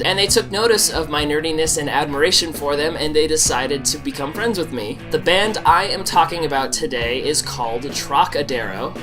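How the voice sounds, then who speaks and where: Man, congested, music vlog host, eager fan talk, loud band music underneath. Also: on the high side. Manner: enthusiastic fan talk with some swearing. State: congested from a cold. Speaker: vlogger and show host. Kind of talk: casual music review vlog. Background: band music playing.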